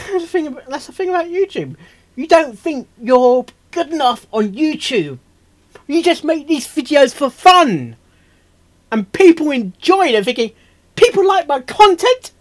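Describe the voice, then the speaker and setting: A man hosting a reaction video, rambling casually and excitably, stammering and repeating himself as he goes. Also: extremely high pitch, measured pace. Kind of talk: casual off-the-cuff reaction commentary.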